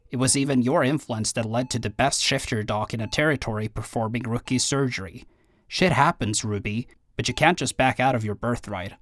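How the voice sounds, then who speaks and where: Actor as loyal brotherly man, audio roleplay: slightly low pitch, persuasive, earnest, natural conversational delivery. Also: close to an everyday voice. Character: earnest, insistent brotherly friend urging her to lead.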